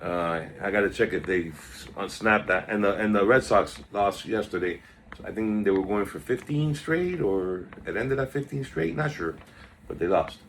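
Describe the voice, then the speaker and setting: A man's voice: on the low side, lively intonation, moderate pace, slight background noise. A man hosting a casual stock market video, chatty and drifting off topic while reading out stock moves, recorded on a phone. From South Florida. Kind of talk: casual rambling market commentary.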